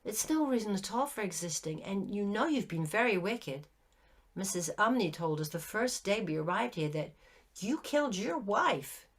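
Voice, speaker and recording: A woman's voice: medium pitch, expressive, moderate pace, breathy edge. A woman reading a story aloud, voicing an earnest young girl who scolds with prim indignation.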